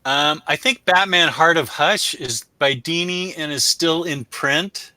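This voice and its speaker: Man, show host, bright voice, dry close sound, casual rambling livestream chat, self-deprecating. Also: a little high, a little slow, no echo. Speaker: host of a comic book review show.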